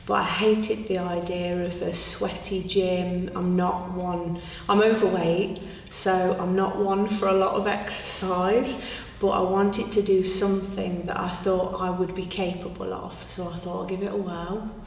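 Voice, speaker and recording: Woman, 50, testimonial; low gravelly voice, echoey distant room. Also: flat delivery, measured pace. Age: 50s. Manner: candid and self-deprecating. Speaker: class member giving a testimonial. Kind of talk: interview testimonial. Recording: echoey hall from far off, faint room noise.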